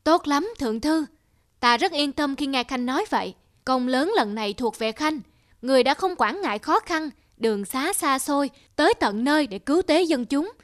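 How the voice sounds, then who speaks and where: A woman's voice: sits high, expressive, moderate pace, no room sound, clean studio sound. A woman voicing a benevolent elderly emperor in a cartoon folktale, formal and regal. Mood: gracious. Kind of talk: historical cartoon folktale dub.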